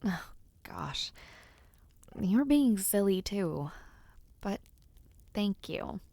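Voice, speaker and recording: Woman acting as young noblewoman, audio roleplay, teasing, bashful, hesitant, unhurried pace, noticeable vocal fry. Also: mid-range voice, animated, warm tone, no room sound, heavily acted. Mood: bashful, pleased. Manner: playful, hesitant, with pauses and sighs. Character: teasing, bashful young noblewoman before her debut ceremony. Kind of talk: intimate audio roleplay, one-sided conversation.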